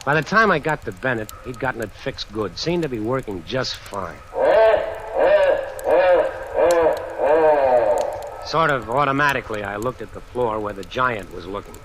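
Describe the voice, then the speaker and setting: A man's voice: mid-range voice, expressive, fairly quick, few pauses, sharp tone, slight background noise, poor audio. An actor playing a cocky, belligerent drifter in an old radio drama, with wry, slangy tough-guy talk. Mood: uneasy. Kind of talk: 1950s radio drama acting, first-person noir narration. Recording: old radio recording with music.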